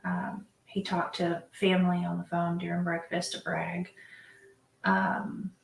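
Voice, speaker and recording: A mother recounting the day in an interview, halting with many ums. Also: on the low side, monotone, vocal fry, echoey room, soft background music, low-quality recording. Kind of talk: recorded interview.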